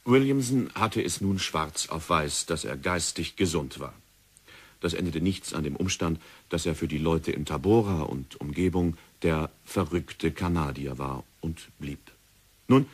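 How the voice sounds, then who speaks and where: A man narrating a vintage radio play in a steady, measured way. His voice is very deep and has a husky edge. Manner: measured storytelling. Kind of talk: scripted narration of a radio drama.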